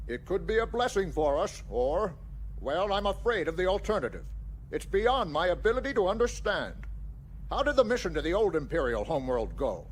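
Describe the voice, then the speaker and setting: A high, swooping voice for a grave alien fleet admiral in a space audio drama. He speaks formally and with weight, over faint background noise. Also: sing-song, moderate pace, rough recording. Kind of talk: sci-fi audio drama acting.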